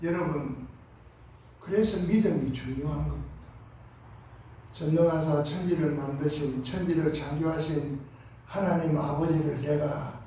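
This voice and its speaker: A pastor with a Gyeongsang accent delivering a Sunday sermon, impassioned, moving between hushed and loud passages. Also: male voice, fairly high pitch, fairly even intonation, measured pace, pauses often, slight background noise. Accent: Gyeongsang Korean. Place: large church sanctuary. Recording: echoey sanctuary from far off.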